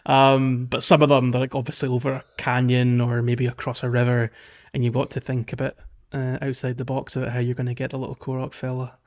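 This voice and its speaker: A man's voice: medium pitch, monotone, brisk, hardly any pauses. A man co-hosting a podcast in casual game chat, recorded with slightly dull, lo-fi sound. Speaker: podcast co-host.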